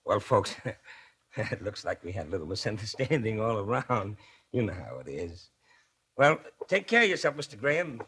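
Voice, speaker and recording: An actor playing a brash, blunt, wisecracking police detective in a 1940s radio drama. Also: male voice, fairly low pitch, sing-song, moderate pace, a bit bright, breathy edge, exaggerated voice. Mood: sheepish. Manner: wisecracking, brusque. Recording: noisy old radio broadcast.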